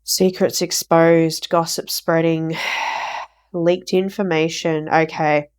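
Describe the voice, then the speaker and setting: A woman giving a casual tarot reading for viewers, croaky from a recent flu, rambling and repeating herself. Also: low-pitched, little pitch movement, slow pace, darkish tone. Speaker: tarot reader.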